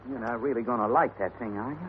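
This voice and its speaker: A man's voice: mid-range voice, fast, natural voice. An actor playing a skeptical, grumbling gunman sidekick in a 1950s Western radio drama, blunt and full of doubt. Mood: doubtful, worried. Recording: muffled, noisy old radio recording.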